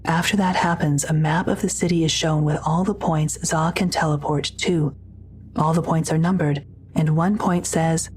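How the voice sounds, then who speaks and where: A woman's voice: low voice, darkish tone, a little hiss or hum. A woman reading scripted audio drama narration in a neutral, descriptive manner.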